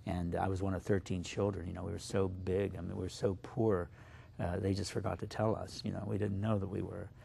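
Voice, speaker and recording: Low, gravelly man, native Slovak speaker. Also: flat delivery, dark tone, a little hiss or hum. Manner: thoughtful, halting, with many fillers. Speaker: poet guest. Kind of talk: reflective interview answers.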